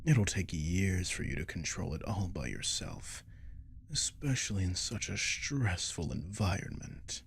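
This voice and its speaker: Man voicing a low, gravelly, seductive werewolf. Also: animated, a little hiss or hum, heavily acted. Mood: coaxing, threatening. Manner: slow, coaxing, teasing, often dropping to whisper. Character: male captive werewolf, seductive, menacing, possessive manipulator. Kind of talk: ASMR audio roleplay acting. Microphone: close microphone.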